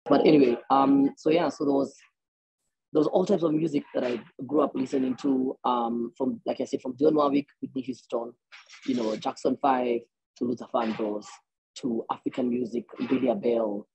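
A man's voice: medium pitch, very animated, moderate pace, creaky, darkish tone, lo-fi sound, a little hiss or hum. A Kenyan man in his thirties, a musician, answering interview questions on a video call in a rambling, friendly way with many restarts. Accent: Kenyan English. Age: 30s. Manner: rambling, many restarts and fillers, laughs easily. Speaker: guest musician. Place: small room indoors. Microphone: earbuds on a video call.

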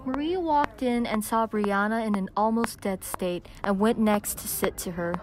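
A woman's voice: medium pitch, moderate pace. A young teenage girl reading scripted narration for a school audio drama. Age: young teen. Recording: clicky amateur recording with background noise.

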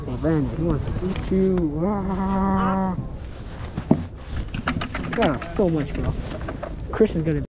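A man giving excited, casual running commentary as he fishes, talking to the fish and to his friends. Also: high-pitched, slow pace, pauses often. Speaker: fishing vlogger. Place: outdoors on a wooden fishing pier by the sea. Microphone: head-mounted action camera. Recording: outdoor noise on an action camera.

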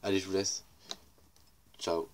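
A young man with a cold and a congested, weak voice casually commenting on football highlights, rambling and repeating himself. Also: level tone, measured pace, a little hiss or hum. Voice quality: congested, little voice. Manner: rambling, repeats "regardez" and "voilà". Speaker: YouTuber commenting match highlights.

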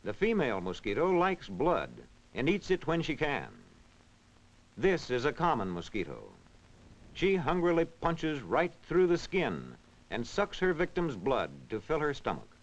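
A man reading scripted educational narration in a measured, instructional, clearly enunciated way. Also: on the high side, sing-song. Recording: dull, band-limited vintage film soundtrack.

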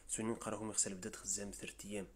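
Fast-talking Riffian man explaining. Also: lowish voice, level tone, a little hiss or hum. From Rif region of Morocco. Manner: brisk, informal, step-by-step explaining. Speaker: tutorial presenter. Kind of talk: explanatory voice-over tutorial. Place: voice-over, not the pictured scenes.

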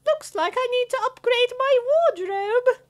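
A woman's voice: extremely high pitch, measured pace. A woman voicing a fed-up, sassy British girlfriend in a comic dub, complaining theatrically. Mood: smug. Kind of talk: comic dub character acting.

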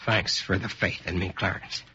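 An actor playing a weary American commander who tells his tale gravely, in 1940s radio drama style. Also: low voice, steady tone, moderate pace, noisy background, natural voice. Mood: weary, defeated. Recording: dull old radio recording.

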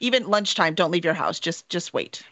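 A woman's voice: on the low side, animated, moderate pace, a bit bright, no echo. A woman from Texas, in her thirties or forties, hosting a casual interview from a small room at home. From Texas, United States. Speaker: interview host. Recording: dull, lo-fi call audio.

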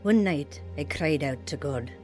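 A woman's voice: low-pitched, lively intonation, unhurried, darkish tone, soft background music. A woman playing a vulnerable, earnest young wife and mother in a radio drama. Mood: somber, reflective. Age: young adult. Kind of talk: radio drama acting and first-person narration.

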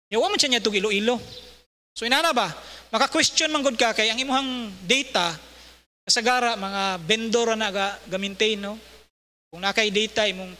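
Middle-aged man, Cebuano accent, bright high voice, casual sermon delivery, long hall reverb. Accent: Cebuano Filipino. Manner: conversational preaching, frequent "no?" tag questions. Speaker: church speaker. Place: large hall with bleachers, indoors. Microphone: handheld mic at a lectern.

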